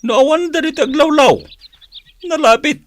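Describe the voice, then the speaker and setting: A woman's voice: sits high, moderate pace, close to an everyday voice. A woman playing a devoted, reassuring male village chief and father in a radio drama.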